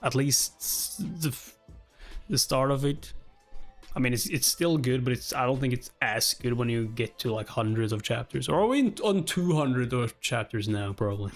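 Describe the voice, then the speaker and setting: A man giving a casual, exclamatory live reaction while reading along with a webtoon, speaking informally with some mild swearing. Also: mid-range voice. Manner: exclamatory, informal, mildly profane. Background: background music.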